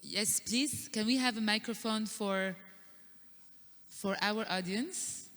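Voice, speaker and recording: A woman moderates a conference panel in a bright, pointed voice, with fillers threaded through her remarks. Her words ring out with a long reverb in a large hall. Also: mid-range voice, slow pace.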